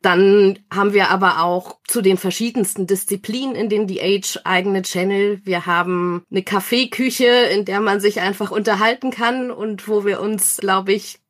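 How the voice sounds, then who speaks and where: A woman's voice: mid-range voice, fairly even intonation, normal speed, few pauses. A woman speaking as an interview guest, explaining things informally in a friendly, enthusiastic way. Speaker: interview guest, online community organizer.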